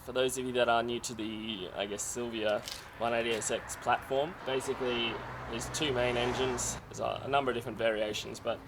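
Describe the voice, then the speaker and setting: A young man talks through servicing his car in a relaxed, explaining way, his voice slightly croaky. He speaks at an ordinary mid pitch. Heavy outdoor noise sits under the recording. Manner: chatty, explains as he works. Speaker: car project vlogger. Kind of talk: casual how-to car vlog. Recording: loud outdoor background noise.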